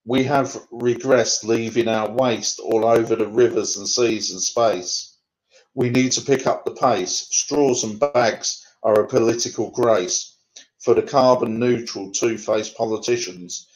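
A man's voice: fairly low pitch, poor audio. Man reciting rhyming poetry on a video call. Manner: rhythmic rhyming recitation, then conversational with fillers. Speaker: poet guest. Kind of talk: poetry reading then interview answers. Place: home room.

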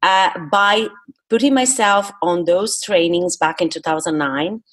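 A woman's voice: fairly low pitch, measured pace, a bit bright. A Brazilian woman, a native Portuguese speaker, talking earnestly and emphatically as a coach being interviewed. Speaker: guest, public speaking coach. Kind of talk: interview talk.

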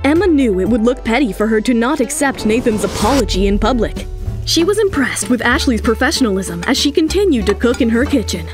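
A woman's voice: a little high, sing-song, fairly quick. A woman delivering dramatic scripted narration in an emphatic storytelling manner. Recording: music under the voice. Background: dramatic background music.

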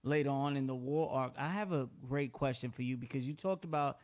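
A man's voice: mid-range voice, brisk, a little mellow. A man in his thirties, a podcast host asking questions in casual interview chat on a close microphone. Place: home streaming room, indoors. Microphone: close boom-arm podcast microphone.

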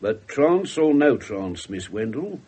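Husky, sardonic English police inspector. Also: male voice, mid-range voice, very animated, takes it slowly, dark tone, heavily acted. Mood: dry, sceptical. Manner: wry, blunt, colloquial. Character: gruff, sardonic, irreverent male police inspector. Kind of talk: 1970s radio drama acting.

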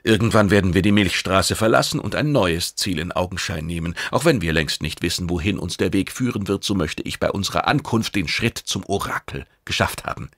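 A man voicing a spy who poses as an eager, cheeky oracle page in a science fiction audiobook, speaking calmly and formally with probing intent. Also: deep voice, brisk, hardly any pauses, no room sound, studio quality, heavily acted. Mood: earnest.

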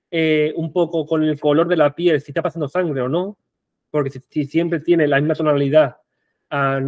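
A young man with a marked Andalusian accent, giving an animated online conference talk with rhetorical questions and frequent restarts, speaking on a headset mic in a small room. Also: a little high, no echo. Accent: Andalusian Spanish.

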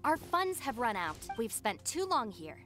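A woman's voice: a little high, very animated, moderate pace, fairly bright tone, faint music behind. An actress playing a stern, sensible young woman, a gang deputy in a game, firm and no-nonsense. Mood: stern, exasperated.

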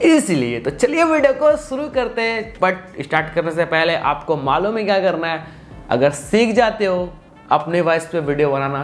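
A man, giving a chatty, informal step-by-step tutorial with English tech words mixed in. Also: fairly high pitch. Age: 20s. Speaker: video tutorial host. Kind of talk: casual step-by-step tutorial to camera. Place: small indoor room with computer setup. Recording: clearly noisy room recording.

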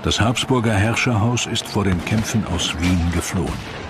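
A man narrating a history documentary from a script, in a grave, measured manner. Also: on the low side, very noisy. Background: music.

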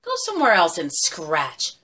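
A woman's voice: lowish voice, huge pitch swings, normal speed, sharp tone, full character voice. A woman voicing a busy, fussy male squirrel in a storybook tale, chattering indignantly.

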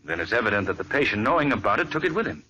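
A calm detective who reasons out clues in a measured, lively delivery. The voice is bright, with faint hiss behind it. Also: male voice, lowish voice, highs cut off. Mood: probing. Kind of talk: 1940s radio acting.

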